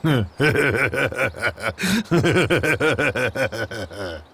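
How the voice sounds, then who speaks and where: A man's voice: mid-range voice, animated, very slow, vocal fry, sharp tone, a little hiss or hum, full character voice. An actor playing a greedy merchant in a video game, drawling out schemes as he mutters in his sleep. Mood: dreamy, scheming. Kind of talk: video game cutscene voice acting.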